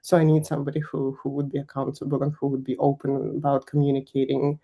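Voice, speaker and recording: A woman's voice: extremely low pitch, completely flat delivery, fast, lo-fi sound. A young woman from Kazakhstan answering interview questions in a candid, emphatic way, with frequent laughs. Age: young adult. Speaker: guest finance executive.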